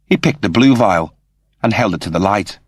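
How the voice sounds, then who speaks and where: A man's voice: fairly low pitch, expressive, moderate pace, slightly rough, no room sound. A man giving a scripted narration of comic science fiction as an audiobook narrator.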